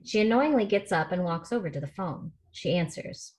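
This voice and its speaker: Low-voiced woman reading stage directions. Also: expressive, moderate pace, darkish tone. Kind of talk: scripted narration at a table read. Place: video call.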